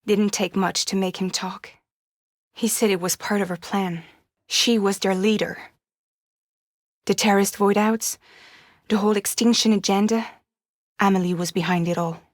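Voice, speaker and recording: A woman's voice: medium pitch, fairly even intonation, moderate pace, long pauses, dry recording, studio quality, natural voice. An actress playing a weary, wry young woman courier in a video game, guarded but caring, speaking in an understated, intimate way. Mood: weary, serious.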